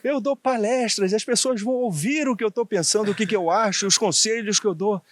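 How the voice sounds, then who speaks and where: A man in his sixties from Bahia with a faded Bahian accent, telling anecdotes in a relaxed, chatty interview and laughing often. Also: sits high, a little fast, no room sound. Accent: faded Bahian Brazilian Portuguese. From Bahia, Brazil. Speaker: guest, former diplomat.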